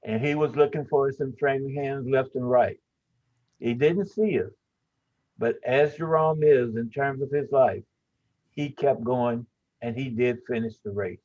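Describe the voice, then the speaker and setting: A man in his sixties giving a personal tribute, telling stories slowly with many "uh" fillers. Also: mid-range voice, darkish tone. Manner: frequent "uh" fillers, measured storytelling. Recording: tinny phone-like audio.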